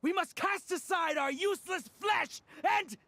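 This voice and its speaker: A man's voice: extremely high pitch, measured pace, pushed and bright, no echo, heavily acted. A man voicing a fanatical, resentful researcher in a video game, theatrical and accusing. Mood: bitter raving. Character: fanatical, resentful male mad scientist.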